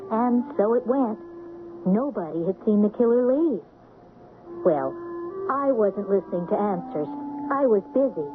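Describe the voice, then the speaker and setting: A woman's voice: medium pitch, natural voice. A woman playing a sheriff's keen, observant young daughter with an American accent, earnest and articulate, in radio drama style. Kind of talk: radio drama acting with narration. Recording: muffled, noisy old radio broadcast.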